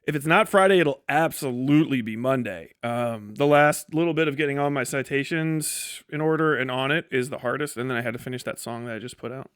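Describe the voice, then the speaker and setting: A man livestreaming casual commentary, blunt, scornful and full of swearing, rambling in a halting way with false starts and digressions. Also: mid-range voice, a bit bright, clean tone, dry recording, studio quality.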